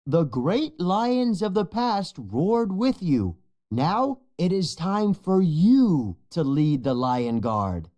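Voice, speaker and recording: A storybook narrator voicing a wise, excitable male shaman mentor who proclaims ceremonially. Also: mid-range voice, slow, voice pushed up. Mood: excited, proclaiming.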